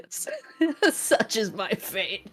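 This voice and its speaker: A woman's voice: fairly high pitch, huge pitch swings, a bit bright, husky, faint music behind. A woman guest on a chat show who talks casually, laughing often and restarting her sentences. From New England. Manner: giggly, halting, many restarts. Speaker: guest vtuber.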